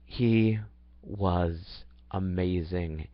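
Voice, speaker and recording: Young guy reading his life story out loud, kinda low voice, earnest, dull dry lo-fi mic. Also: drawn-out words, no echo. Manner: earnest, plain reading with restarts. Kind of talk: reading a personal story aloud.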